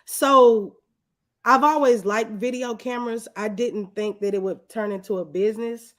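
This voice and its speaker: A woman's voice: a little high, fairly even intonation, moderate pace, a bit bright. Woman guest chatting in a casual interview.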